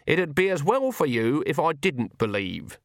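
A man's voice: on the high side, big pitch swings, a little slow, no room sound, studio quality, voice pushed up. A man voicing a fierce, stubborn old country witch woman, blunt and folksy with an emphatic, scolding manner, in an audiobook. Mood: needling.

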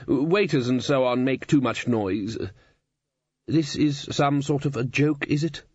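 A man's voice: medium pitch, measured pace, darkish tone, slightly rough, dry recording, lo-fi sound, pitched above the performer's own voice. A man voicing a jovial older wizard who runs a university, bluff and courteous, in a storybook reading. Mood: blunt, conversational. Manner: bluff, conversational, courteous with hesitations.

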